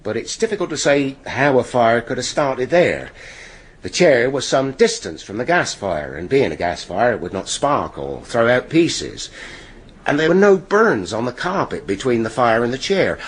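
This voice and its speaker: Husky British police inspector. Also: male voice, mid-range voice. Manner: formal, precise, factual. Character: dutiful male police inspector giving careful evidence. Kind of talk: radio courtroom drama acting.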